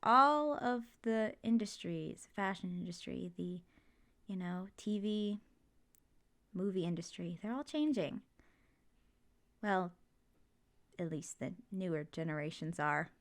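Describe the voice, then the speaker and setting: A woman's voice: lowish voice, slow, long pauses. A woman playing a supportive, playful mother in an ASMR comfort roleplay, talking casually and reassuringly to her kid. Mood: upbeat, reassuring. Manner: casual, reassuring, jokey, gently expressive. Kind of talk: ASMR comfort roleplay, one side of a conversation.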